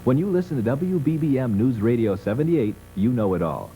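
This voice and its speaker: A man's voice: medium pitch, lively intonation, brisk, dark tone, soft background music, rough recording. A man reading a scripted advertisement for a radio station as its spokesman. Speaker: commercial spokesman.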